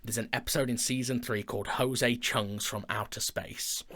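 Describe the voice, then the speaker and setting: Guy just chatting, mid pitch, jokey and keen, talking like a show creator. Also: fairly even intonation, normal speed, a bit bright. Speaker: audio drama creator in conversation. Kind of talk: casual chat.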